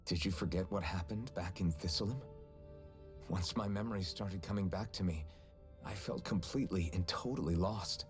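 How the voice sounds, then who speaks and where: A man voicing a deep-voiced calm young hero. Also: normal speed, soft background music, natural voice. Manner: calm, reassuring. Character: steady young male swordsman hero, calm and caring. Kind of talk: video game cutscene dialogue.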